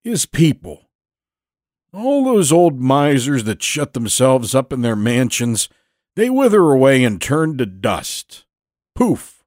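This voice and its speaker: A man voicing a genial, boastful Navy veteran in his eighties for an audio storybook, folksy and orating, with drawn-out emphatic phrases. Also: mid-range voice, very animated, a little mellow, no room sound, studio quality, pitched above the performer's own voice. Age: 80s.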